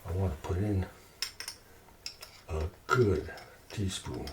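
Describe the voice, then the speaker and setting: A man chatting casually and unhurriedly as he hosts an ASMR-style cooking video in his kitchen. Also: sits low. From Quebec, Canada. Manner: unhurried, talking to himself, asking viewers questions. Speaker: home cooking host. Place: home kitchen. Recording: distant mic, clearly noisy, clicking sounds.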